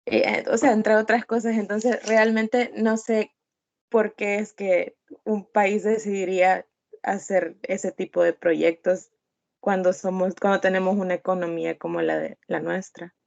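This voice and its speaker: A woman's voice: medium pitch, little pitch movement, a little slow, gravelly, darkish tone. A young woman, a student hesitantly asking a question in class. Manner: hesitant, many fillers and restarts. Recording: dull lo-fi audio.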